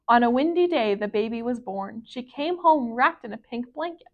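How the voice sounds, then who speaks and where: A woman reading a children's picture book aloud as a library storyteller, with expressive, careful phrasing. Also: on the high side, moderate pace, phone-quality sound.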